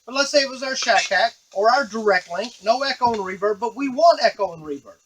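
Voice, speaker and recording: A man's voice: very high voice, animated, fairly quick, a bit bright, a little hiss or hum, low-quality recording. A man giving a casual, unscripted tutorial on a device, explaining step by step to the viewer as he works. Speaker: device demonstrator.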